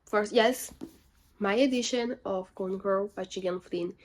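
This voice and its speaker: A woman's voice: medium pitch, measured pace. A young woman chatting casually about her books in a room lined with bookshelves, rambling and correcting herself as she goes. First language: not French. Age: young adult. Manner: chatty, self-correcting, animated asides. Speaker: book vlogger. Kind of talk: casual chat.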